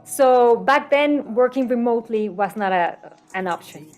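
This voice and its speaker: High-voiced middle-aged Mexican woman telling stories. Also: expressive, unhurried, darkish tone, soft background music. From Mexico City, Mexico. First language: Spanish. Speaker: interview guest, health coach. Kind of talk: casual personal storytelling.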